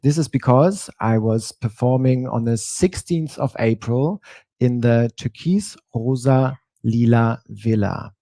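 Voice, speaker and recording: A man, giving a prepared stage talk as a drag performer and telling his story in a measured way. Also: mid-range voice, mellow, no echo.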